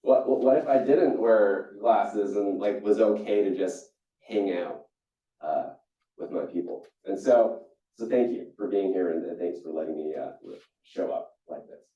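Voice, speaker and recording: Man telling stories in an echoey hall. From Singapore. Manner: storytelling, animated with jumps in pitch and frequent restarts. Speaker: keynote speaker. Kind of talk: motivational keynote talk. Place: conference hall. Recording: echoey hall, distant and dull.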